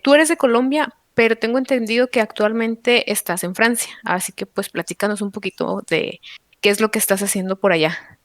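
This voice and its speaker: A woman with a Mexican accent speaks as a podcast host. Her pitch sits in the middle range and the sound is very dry. She introduces and explains in a semi-formal way, often pausing on filler words. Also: no room sound. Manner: hesitant, with frequent filler words.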